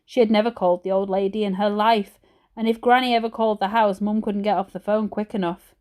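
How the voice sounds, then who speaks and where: A woman's voice: mid-range voice, steady tone, fairly quick, dark tone, smooth. A woman narrating a children's storybook expressively, like a teacher reading aloud.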